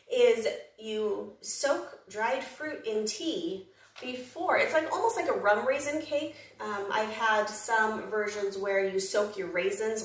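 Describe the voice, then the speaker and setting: A woman's voice: a little high, fairly bright tone, echoey room, distant mic. An American woman hosting a cooking vlog from her home kitchen, chatty and upbeat, with rambling asides. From United States. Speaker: cooking channel host.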